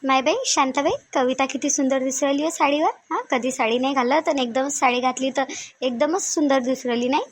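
A woman's voice: sits high, fairly even intonation, a little fast. A woman voicing chatty, bossy village women in a Marathi cartoon comedy, with a Varhadi accent and a colloquial, nagging manner. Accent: Varhadi Marathi of Vidarbha.

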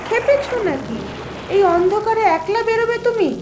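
A woman's voice: extremely high pitch, lively intonation, normal speed, over music. A woman voicing a practical, reassuring young sister-in-law in a cartoon, homely and chiding, with a roomy echo. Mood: alarmed, chiding. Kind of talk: Bengali cartoon voice acting.

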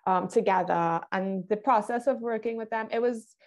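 Creaky-voiced young woman from Trinidad. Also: on the high side, normal speed. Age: young adult. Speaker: film director being interviewed. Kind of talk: casual interview talk. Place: indoor room, video call. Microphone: wired earphones on a video call.

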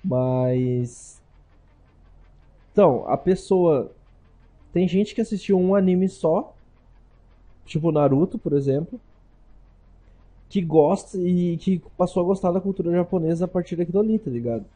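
A man's voice: high voice, measured pace, pauses often, warm tone. A young man co-hosting a casual podcast, talking emphatically and repeating himself. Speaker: podcast co-host.

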